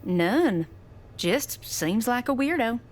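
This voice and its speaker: A woman's voice: fairly high pitch, lively intonation, takes it slowly. A woman playing a timid, curious small-town diner waitress in an audio drama, hesitant and unsure.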